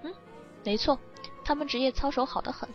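On a slightly muffled recording with soft music behind, a woman plays a shipwrecked young woman in an audio drama. She is cool, teasing and languid, with a somewhat high voice. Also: a little fast, natural voice. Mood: dreamy, detached.

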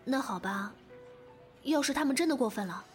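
A woman playing a caring, protective young friend and colleague in an audio drama, speaking with expressive concern. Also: high voice, normal speed. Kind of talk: modern audio drama acting. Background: faint music.

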